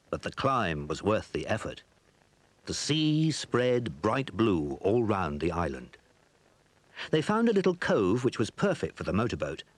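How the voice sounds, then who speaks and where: Male narrator, slightly low, lively storytelling delivery, dry close sound. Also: dry recording. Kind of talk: scripted storybook narration for audio drama.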